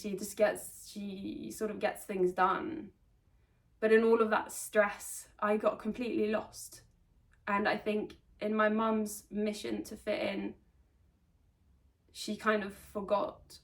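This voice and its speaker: Earnest woman speaking a little off-mic. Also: medium pitch. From Sweden. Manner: earnest and reflective, with halting restarts. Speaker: vlogger. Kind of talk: personal confessional storytelling. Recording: voice slightly distant from the mic.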